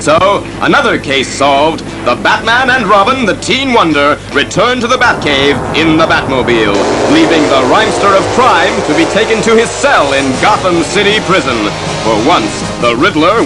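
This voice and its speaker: Theatrical male narrator with lively pitch. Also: moderate pace. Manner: theatrical, suspenseful. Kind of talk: dramatic scripted superhero audio drama narration. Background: dramatic music.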